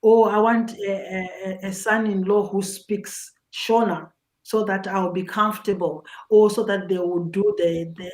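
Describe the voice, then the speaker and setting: A woman's voice: mid-range voice, fairly even intonation, unhurried, a little mellow. A middle-aged woman with a Zimbabwean accent, giving earnest personal advice as a talk show guest, often repeating words as she searches for phrasing.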